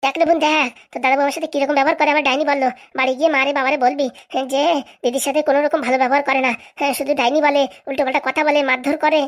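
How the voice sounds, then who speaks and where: Shrill, rapid-fire nagging cartoon wife voiced by a woman. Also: level tone, lightly acted. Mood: outraged. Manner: scolding, accusatory, rapid-fire haranguing. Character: bossy, nagging, domineering wife of a village politician. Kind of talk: comic cartoon voice acting.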